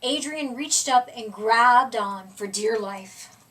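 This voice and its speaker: A woman reads a children's story aloud in a high voice. She takes her time, stretching words for dramatic emphasis. The mic picks her up from some distance. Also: slow pace, fairly bright tone, a little hiss or hum. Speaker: storybook reader. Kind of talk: expressive read-aloud of a children's picture book.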